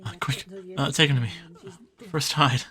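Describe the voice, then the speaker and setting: A man voicing a shy, bumbling male jacklomire creature with vampire traits, who speaks in a halting, hesitant way. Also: medium pitch, very noisy, lightly acted. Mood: nervous, hesitant. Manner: halting, hesitant, many fillers. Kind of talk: audio roleplay drama.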